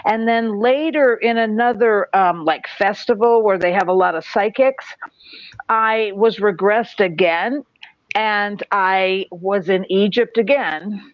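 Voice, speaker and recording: A woman sharing a personal story on a livestream, speaking in a slow, hesitant way with many pauses. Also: mid-range voice, no room sound, dull audio. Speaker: guest speaker. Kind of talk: livestream conversation.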